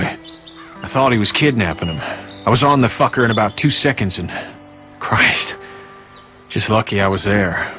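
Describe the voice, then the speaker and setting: A man's voice: on the low side, bright, forceful tone. An actor playing a tough, plainspoken middle-aged fisherman and family man in a video game. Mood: tough, proud.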